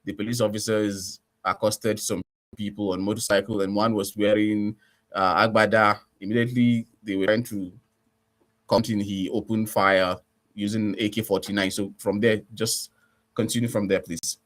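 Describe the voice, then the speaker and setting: A man's voice: fairly low pitch, little pitch movement, moderate pace, fairly bright tone. Nigerian man hosting a radio interview. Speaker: radio host interviewing a guest.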